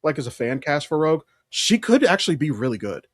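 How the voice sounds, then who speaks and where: An American man co-hosting a podcast in casual chat, rambling and halting as he thinks aloud. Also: on the high side, sing-song, a bit bright, no echo. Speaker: podcast co-host. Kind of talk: casual chat about comics and films.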